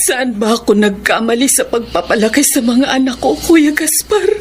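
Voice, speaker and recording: A woman's voice: a little high, expressive, moderate pace, close to an everyday voice. A woman playing a wounded, pleading middle-aged schoolteacher mother in a Filipino radio drama, with an emotional, melodramatic delivery. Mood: hurt, sorrowful. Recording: dramatic music behind, some background noise.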